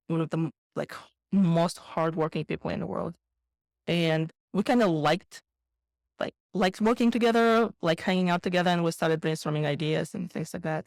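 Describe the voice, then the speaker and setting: A woman's voice: fairly low pitch, vocal fry, darkish tone, no room sound. A woman from Kazakhstan, a guest entrepreneur chatting loosely in an interview, full of "like" fillers. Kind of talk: casual interview chat.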